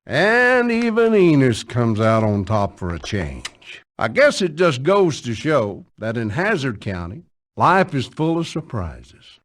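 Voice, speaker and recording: An actor playing a wry, folksy country storyteller with an American Southern accent, narrating a scripted tale. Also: male voice, mid-range voice, big pitch swings, slow pace. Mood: amused, folksy.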